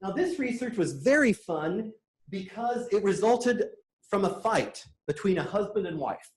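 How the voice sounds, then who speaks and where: A man with a high, light voice presents at a conference, eager and quick to make a small joke. He sounds middle-aged and talks the audience through his slides. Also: sing-song, a little mellow. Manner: enthusiastic, lightly joking. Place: conference room.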